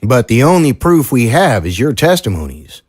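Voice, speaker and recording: An actor playing an earnest, troubled small-town sheriff in an audio drama, speaking in a folksy, sincere, measured way. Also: male voice, mid-range voice, sing-song, darkish tone, slightly rough, no echo, clean studio sound. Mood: grave, candid.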